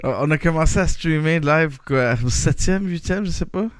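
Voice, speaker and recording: A man's voice: a little high, moderate pace, clean tone, no room sound, clean studio sound. A man in his thirties with a Quebec French accent hosting a casual podcast, rambling with restarts and laughs. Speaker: podcast host.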